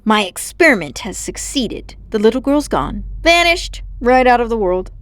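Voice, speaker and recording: A woman's voice: a little high, big pitch swings, moderate pace, no echo. A woman voicing a pompous, condescending, scheming old man, a self-important magician uncle in a storybook. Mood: triumphant, smug. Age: older. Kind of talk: storybook character voice.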